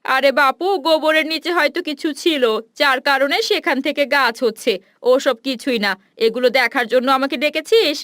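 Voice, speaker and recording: High, bright village mother, voiced by a woman. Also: fairly even intonation, moderate pace, no room sound, studio quality. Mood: impatient, dismissive. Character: busy, practical village mother. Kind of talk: cartoon fairy tale dialogue.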